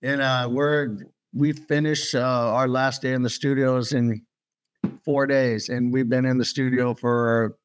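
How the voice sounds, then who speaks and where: A man's voice: mid-range voice, unhurried, slight background noise. Blunt, swearing man in his sixties. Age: 60s. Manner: blunt, swears freely, relaxed. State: tired. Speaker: guest guitarist. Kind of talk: casual interview chat.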